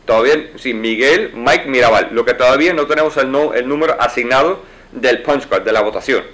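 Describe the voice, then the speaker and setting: A man in his forties answers interview questions from behind his office desk. He speaks in a middle-pitched voice, fluently and in an explanatory way, with quick asides. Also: fairly bright tone, rough recording. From United States. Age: 40s. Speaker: lawyer and judicial candidate. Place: wood-paneled office, indoors.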